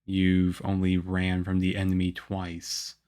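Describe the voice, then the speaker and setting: A man playing a stern, aloof young swordsman mentor in a roleplay for the listener, with a harsh, measured, deadpan manner. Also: sits low. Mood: reserved, grudging approval. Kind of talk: ASMR roleplay acting addressed to the listener.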